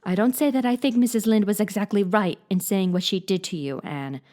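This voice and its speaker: A woman's voice: medium pitch, lively intonation, brisk, a little mellow, close to an everyday voice. A woman reading as a stern, dry guardian. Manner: severe, dry.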